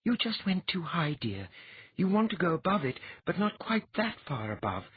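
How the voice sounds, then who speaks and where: A husky-voiced audiobook reader voicing a patient woman who instructs calmly with a wry edge. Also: mid-range voice, normal speed, no room sound, phone-quality sound, voice pushed up. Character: patient, teasing woman teacher.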